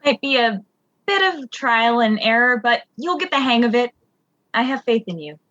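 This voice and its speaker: A gentle female mentor from a space radio drama, played by a woman, with a fairly high voice. She is encouraging and halting, easily flustered. The sound is very dry and a little dull. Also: lively intonation, dry recording. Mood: forgiving, encouraging. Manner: halting, reassuring. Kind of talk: audio drama acting.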